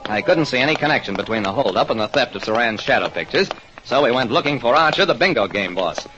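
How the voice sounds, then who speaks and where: Actor as a lively American radio detective. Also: male voice, mid-range voice, quick pace, background noise, lightly acted. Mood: narrating. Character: wry, quick-thinking American private detective. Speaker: narrator and detective lead. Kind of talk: 1940s radio detective narration. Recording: dull vintage radio recording, music behind.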